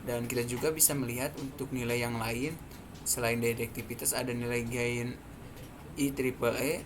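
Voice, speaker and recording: Seorang pria muda berlogat Indonesia menjelaskan demonstrasi perangkat lunak sebagai mahasiswa presenter, bicaranya tersendat-sendat. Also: male voice, mid-range voice, steady tone, moderate pace. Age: young adult. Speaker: student presenter. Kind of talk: explanatory software demonstration. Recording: clearly noisy background.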